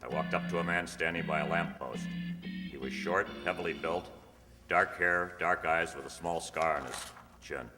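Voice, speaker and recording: A man playing a terse undercover police detective in a radio crime drama, matter-of-fact and deadpan, recorded in an echoey hall. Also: a bass voice, normal speed. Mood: deadpan, methodical. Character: terse undercover police detective narrating his own case. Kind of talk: clipped radio crime drama narration and dialogue. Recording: echoey hall, loud music behind.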